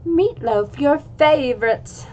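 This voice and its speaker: A woman's voice: sits high, animated, slow, mellow, lo-fi sound, slight background noise, pitched above the performer's own voice. A woman voicing a caring mother who patiently scolds her son, read aloud from a storybook. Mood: teasing. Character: caring, gently nagging mother of a boy.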